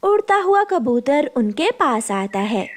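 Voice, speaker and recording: A woman's voice: high-pitched, sing-song, slow pace, a little hiss or hum. A woman narrator reading a scripted children's story for a cartoon.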